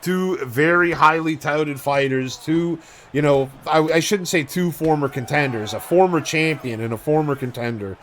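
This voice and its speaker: A man giving play-by-play commentary on video game fights, calling each strike quickly and casually. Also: fairly high pitch, a bit bright, slight background noise. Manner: rapid blow-by-blow calling.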